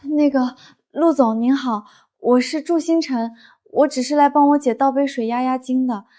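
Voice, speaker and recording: An actress playing a flustered young office woman who stammers out theatrical, panicky excuses in an animated drama. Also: high-pitched, moderate pace, close to an everyday voice. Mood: nervous, meek. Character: flustered young woman office planner faking a timid twin. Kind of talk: animated short drama dialogue.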